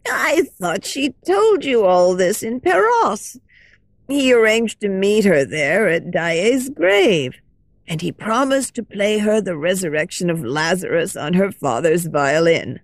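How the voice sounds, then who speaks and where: A woman voicing a simple, fond old woman who speaks calmly and cheerfully in a high, lilting way. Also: unhurried, dry recording. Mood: fond, confiding.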